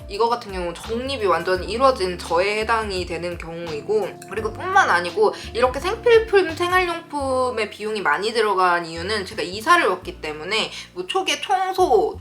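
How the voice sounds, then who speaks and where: A woman's voice: medium pitch, fairly bright tone. A woman in her thirties giving casual advice to viewers as a vlogger, speaking in a frank, emphatic way. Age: 30s. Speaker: vlogger answering viewer letters.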